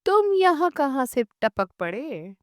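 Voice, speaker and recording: An excitable unmarried woman, acted by a female narrator in a storybook reading, chiding and eager for company. Her voice sits high and swings expressively as she exclaims and reproaches. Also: slow pace, no echo, studio quality, heavily acted. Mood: surprised, delighted. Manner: exclaiming, chiding an old acquaintance. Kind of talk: audiobook short story dialogue.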